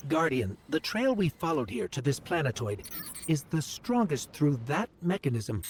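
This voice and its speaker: Earnest, lively little robot companion. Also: male voice, a little high, normal speed, vocal fry, slight background noise. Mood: earnest, watchful. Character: small robot companion, earnest, polite, naive and curious. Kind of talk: video game voice acting.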